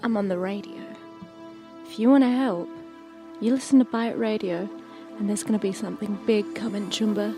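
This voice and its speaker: A streetwise radio rebel, played by a woman in a tabletop game. She sounds assured and slangy, tough yet kindly, in a medium-pitched voice over quiet music. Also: steady tone, moderate pace, lightly acted. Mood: reassuring.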